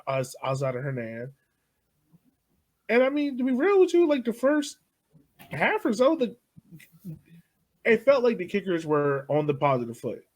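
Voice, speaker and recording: A man co-hosting a casual soccer podcast, thinking aloud in an animated way. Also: a little high. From United States. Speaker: podcast co-host. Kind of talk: casual sports chat.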